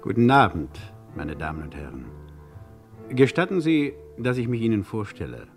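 A man's voice: lowish voice, big pitch swings, soft background music. An actor playing a suave, formal French police inspector who narrates his case in a 1950s German radio crime play. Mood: courteous, composed. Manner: formal, polite, deliberate, wordy.

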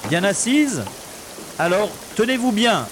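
A man's voice: high voice, sing-song, slow, noisy background, exaggerated voice. A man playing a wisecracking male secret agent in a French science-fiction audio drama, with dry, ironic delivery. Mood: narrating calmly. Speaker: lead character in an audio drama. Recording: rain and water sound effects behind.

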